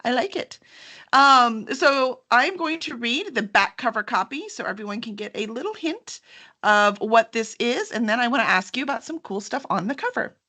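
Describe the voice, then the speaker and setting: Woman, high bright voice, lively swinging pitch, enthusiastic host, casual live chat. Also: normal speed. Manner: enthusiastic, gushing. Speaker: host of a book cover reveal.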